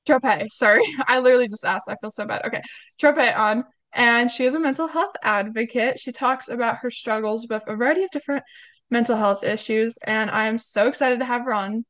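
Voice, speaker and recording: A woman's voice: fairly high pitch, brisk, fairly bright tone. A young woman hosting a podcast, chatting casually and upbeat, her voice a little off from a cold. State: has a cold. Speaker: podcast host. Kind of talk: casual interview chat.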